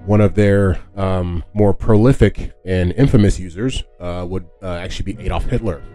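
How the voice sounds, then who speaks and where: A man with a low, mellow voice hosts a history podcast. He explains things casually, feeling his way through sentences and often rewording as he goes. Faint music sits behind him. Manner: halting, conversational, often rephrasing himself. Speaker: podcast host.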